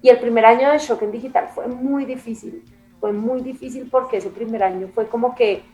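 A woman's voice: fairly high pitch, brisk, dark tone, soft background music, rough recording. A woman from Bogotá with a Colombian capital accent, speaking as an interview guest in a casual, reflective and emphatic way, repeating phrases for stress. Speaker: interview guest, music and culture magazine editor.

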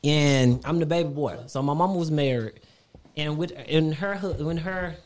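A man with a high-pitched voice, a guest on a podcast, speaking a little above the usual male pitch. He rambles through personal stories and circles back to repeat himself. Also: moderate pace, a little hiss or hum. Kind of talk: casual podcast chat.

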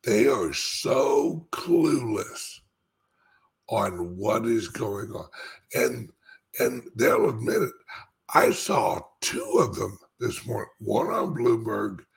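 A man with a raspy voice delivers an informal, emphatic monologue as a crypto commentator, repeating phrases and leaving dramatic pauses. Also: mid-range voice, very animated. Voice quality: speech impediment. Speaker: cryptocurrency commentator and show host.

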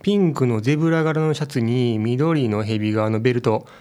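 An actor with a Kansai accent playing a casual, blunt convenience store clerk in a radio drama. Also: male voice, mid-range voice, fairly quick, warm tone, close to an everyday voice. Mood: chatty.

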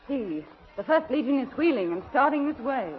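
A woman's voice: sits high, animated, moderate pace. A woman voicing a regal queen of magic in a 1930s radio serial, with a formal, theatrical delivery. The old recording sounds dull and lo-fi. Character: regal female magic queen, proud and commanding.

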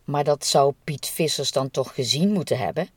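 A woman with a low-pitched voice narrates a documentary from a script. She tells it as a host guiding listeners through a story. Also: moderate pace, darkish tone, slightly rough.

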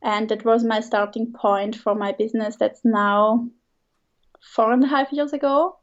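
A woman's voice: a little high, fairly even intonation, measured pace, highs cut off. A woman in her thirties from Switzerland, a guest chatting casually in an interview, hesitant and often restarting with light laughs.

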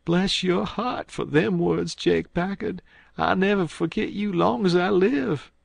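A man's voice: measured pace, gravelly, no room sound, clean studio sound, exaggerated voice. A man voicing a terrified captive in an audiobook, blubbering with gratitude. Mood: tearfully grateful. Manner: high blubbering plea. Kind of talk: audiobook character acting.

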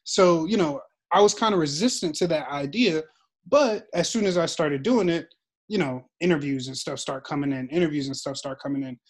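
A man's voice: on the high side, fairly quick. Rambling young man leading a discussion. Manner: thoughtful, rambling with many fillers. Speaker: discussion moderator. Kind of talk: casual group discussion.

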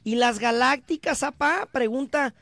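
A Mexican man hosting a sports radio show, reading listener messages in brisk, chatty, teasing banter. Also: very high-pitched, bright, forceful tone, dry recording. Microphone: studio microphone.

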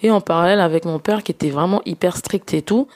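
Woman from gabon, podcast guest, lowish voice, halting speech full of fillers. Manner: hesitant, many fillers and restarts. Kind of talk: casual personal storytelling.

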